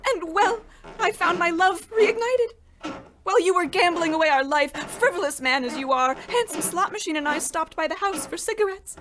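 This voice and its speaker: Performer as a very high-voiced, blunt wife. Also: female voice, normal speed, background noise. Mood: matter-of-fact confession. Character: blunt, unfaithful, exasperated wife in a comedy. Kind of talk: old-time radio play parody acting.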